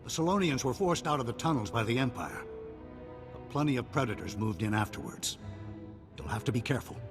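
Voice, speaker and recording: A serious military commander in a video game, with a mid-pitched, animated voice. He briefs with clipped authority while music plays behind him. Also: fairly quick. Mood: serious, commanding. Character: commanding male military officer giving mission briefings, serious. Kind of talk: scripted video game acting. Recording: game cutscene with music underneath.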